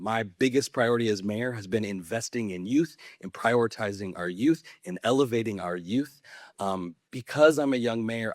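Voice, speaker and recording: Earnest low-voiced man in his thirties. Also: no echo, clean studio sound. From California. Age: 30s. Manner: earnest, passionate advocacy. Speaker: mayor on a panel. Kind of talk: panel talk to an audience. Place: conference panel.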